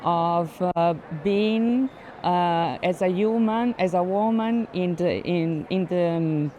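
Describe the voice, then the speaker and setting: A woman with a slightly low voice talks through art in conversational, non-native English. She often stops to find the right word. Faint ambient noise of a busy space is behind her. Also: mellow, lo-fi sound. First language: not English. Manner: halting, searches for words. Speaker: art collector, guest. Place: indoor art fair, on the move.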